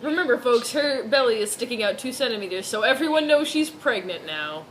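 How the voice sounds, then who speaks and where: A woman's voice: a little high, lively intonation, a little fast, a little hiss or hum, low-quality recording. A woman giving a mock-dramatic reading of fan fiction, giggly and theatrical, with sarcastic asides.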